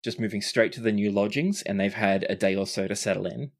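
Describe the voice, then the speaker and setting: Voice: sits low, quick pace. Game master narrating a tabletop adventure. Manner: conversational storytelling, voices characters' lines in passing.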